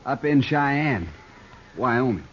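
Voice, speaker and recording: A childish, swooping outlaw sidekick. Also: male voice, mid-range voice, expressive, slow pace. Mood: dim, boastful. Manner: rustic, simple-minded, stammering when eager. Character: childish, murderous, easily agitated outlaw sidekick. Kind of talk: 1950s radio western acting. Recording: noisy, muffled old radio recording.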